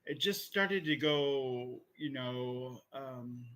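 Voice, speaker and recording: A man's voice: mid-range voice, very slow, fairly bright tone. A man chatting casually with friends as a podcast co-host about films and games.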